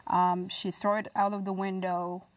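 A woman's voice: medium pitch, flat delivery, normal speed, no echo, far from the mic. A woman in her thirties or forties with a Haitian accent, giving personal testimony as a talk show guest. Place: small dark TV studio, indoors.

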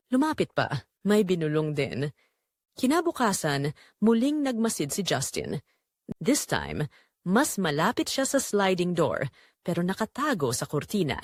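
A Filipino woman narrates a dramatic story with lively, swinging intonation. She phrases it in short, measured pieces that build suspense. The sound is dry and close, with no room around her. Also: lowish voice, no room sound.

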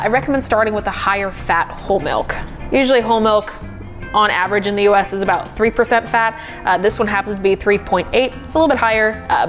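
Young woman, mid-pitch, upbeat home-kitchen coffee tutorial with faint music underneath. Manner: upbeat, chatty, laughs often. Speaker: coffee video host. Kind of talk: instructional kitchen vlog.

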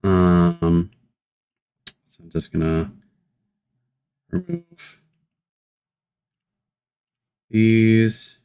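A man chatting casually as a livestreamer, muttering low-key asides and commentary into a desk microphone. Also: extremely slow, long pauses, dark tone, clear, resonant tone.

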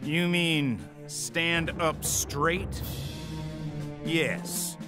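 A man's voice: a little high, fairly even intonation, very slow, over music. A man voicing a proud young colonial army captain who talks bluntly and indignantly.

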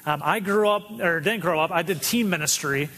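A man's voice: sits high, moderate pace, bright, forceful tone. A man preaching a sermon as a pastor, earnest and direct, driving points home with repetition. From Detroit area. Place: church sanctuary. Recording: long hall reverb.

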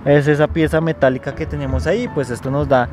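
A man's voice: a little high, steady tone, fast, a little mellow, faint music behind. A young adult man with a Colombian accent, giving a casual, conversational car review to camera and explaining as he goes. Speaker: car reviewer, video host. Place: inside a car and outdoors by a road.

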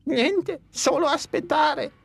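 A woman's voice: high-pitched, slow, gravelly, heavily acted. A woman voicing a young, cautious and fretful flying dinosaur in a dubbed cartoon. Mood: anxious. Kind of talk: Italian cartoon dub.

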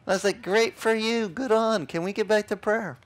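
A man's voice: high-pitched, moderate pace. A middle-aged man, a pastor telling a story in an outdoor beach sermon and acting out the voices of the people in it. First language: Italian. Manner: animated, acts out other people's lines.

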